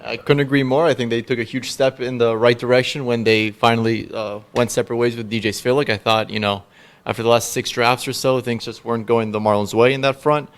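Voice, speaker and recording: A man's voice: medium pitch, little pitch movement, fairly quick. A man hosting a casual sports interview, putting questions and agreeing with his guest. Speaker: podcast host, interviewer.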